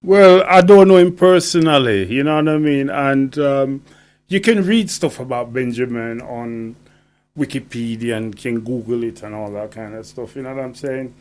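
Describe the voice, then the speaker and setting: A man talking as a poet guest on radio, deliberate and emphatic, often checking he is understood. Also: mid-range voice, darkish tone. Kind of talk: conversational radio interview.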